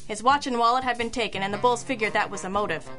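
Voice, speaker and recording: Female radio sleuth acted by a woman, hard-boiled, wry, crisp bright tone, slightly high voice, dry narration, music underneath. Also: fast, lightly acted. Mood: wry, brisk. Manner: dry, wisecracking. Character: wry, self-assured girl detective in a hard-boiled mystery. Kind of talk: old-school radio detective narration.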